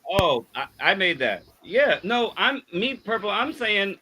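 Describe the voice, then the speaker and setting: A man's voice: high-pitched, sing-song, a little slow, bright, forceful tone, husky. A man in his forties chatting casually on a podcast, opinionated and given to repeating his point. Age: 40s.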